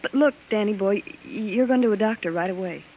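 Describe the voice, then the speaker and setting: An actress playing an anxious, caring young fiancee in 1950s radio drama, fretful and gently insistent. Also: female voice, medium pitch, fairly quick, natural voice. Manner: fretful, pleading. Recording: thin, muffled, hissy vintage radio recording.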